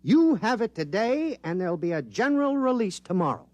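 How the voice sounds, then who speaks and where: An actor in a 1950s radio drama playing an eager, excitable male scientist who explains things in a rush. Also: high-pitched, brisk, soft background music. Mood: excited.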